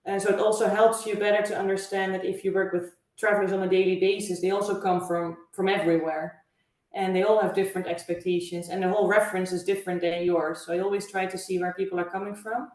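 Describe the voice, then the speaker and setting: A woman from the Netherlands with a Dutch accent, a guest answering interview questions in a measured, thoughtful way with frequent fillers. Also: medium pitch, level tone, a little mellow. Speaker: guest, travel agency owner. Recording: echoey room, far from mic.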